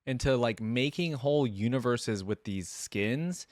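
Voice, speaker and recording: A man's voice: mid-range voice, lively intonation, unhurried, no echo, clean studio sound. A man giving casual, informal reaction commentary, often putting questions straight to his viewers. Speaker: reaction video host.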